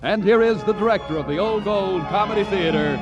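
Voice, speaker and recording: A man's voice: high voice, brisk, highs cut off. A man announcing a 1940s radio comedy show in a grand, declaiming manner. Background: orchestra music.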